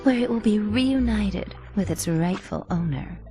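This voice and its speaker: An actress playing a sly, flirtatious femme fatale card player in a video game. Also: female voice, mid-range voice, expressive, measured pace, over music, natural voice. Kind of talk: video game cutscene acting.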